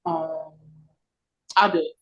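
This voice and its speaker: Woman reading stage directions on a video call, lowish voice, casual read thru. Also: steady tone, slow pace, a bit bright. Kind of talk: read-through narration and casual chat.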